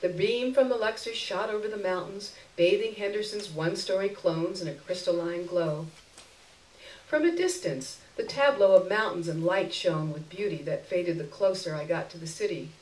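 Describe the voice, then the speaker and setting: A woman's voice: on the low side, moderate pace, a little mellow. Woman reading fiction aloud in a roomy space. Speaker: reader of a short story. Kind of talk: expressive live literary reading, first-person narration. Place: indoor stage before an audience. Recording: roomy live stage recording, distant mic.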